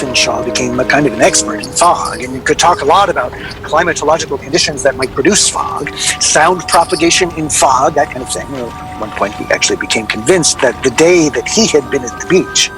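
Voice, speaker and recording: An actor playing a wry sound recordist, telling his story in a measured, articulate, deadpan way for a radio drama. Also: medium pitch, close to an everyday voice, poor audio. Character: wry male sound recordist recalling an obsessive artist's failed project. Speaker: interviewee.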